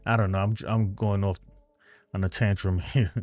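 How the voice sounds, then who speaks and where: Rambling New York man, low voice. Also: level tone, moderate pace, darkish tone, no echo. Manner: rambling, talkative, loose and frank. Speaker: host of a fan live stream. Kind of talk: casual live-stream chat, reading viewer comments.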